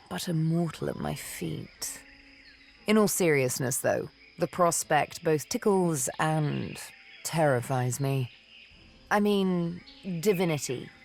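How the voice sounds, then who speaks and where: A woman playing a seductive, vengeful elven assassin and former slave in a video game, speaking in a deliberate, theatrical, teasing manner. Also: deep voice, gravelly, a little mellow, a little hiss or hum, full character voice. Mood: musing, uneasy. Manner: deliberate, teasing, dramatic storytelling with pauses. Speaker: video game companion character.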